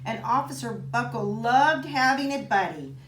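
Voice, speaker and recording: A woman reading a children's picture book aloud in an expressive, child-directed way, with chatty asides to young listeners. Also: on the high side, normal speed. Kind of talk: animated storybook read-aloud for children with casual asides. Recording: roomy echo, some background noise.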